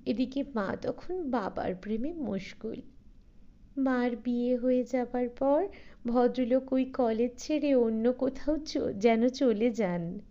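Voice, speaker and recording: A playful young daughter teasing her mother, her voice lifted high and bright above the narration, as a woman reader puts her on. The recording is dull and lo-fi. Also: slow pace.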